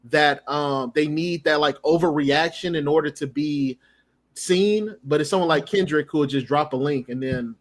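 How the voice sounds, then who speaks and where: A man in a casual panel discussion on a video call, relaxed and agreeable. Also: a little high, normal speed. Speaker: co-host.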